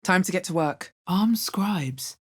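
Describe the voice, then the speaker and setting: A woman playing a wry, resolute, watchful adventurer heroine in a video game, with a deep, husky voice and a measured, understated delivery. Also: expressive, heavily acted. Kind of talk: video game character voice lines.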